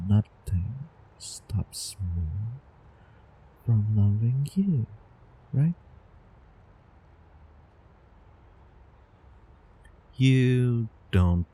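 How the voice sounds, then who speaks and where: A man's voice: on the low side, very animated, mellow, husky, heavily acted, rough recording. A man playing an obsessively devoted, doting time-traveling lover in an intimate roleplay audio drama, coaxing the listener. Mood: insistent, smitten. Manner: slow, intimate, coaxing, over-the-top devotion. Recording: background ambience, light noise bed.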